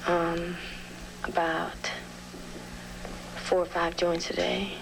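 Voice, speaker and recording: A teenage girl answering interview questions in a halting, subdued way on an old documentary soundtrack. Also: on the low side, completely flat delivery, gravelly, background noise. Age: teens. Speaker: interviewed student marijuana smoker. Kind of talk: hesitant casual documentary interview.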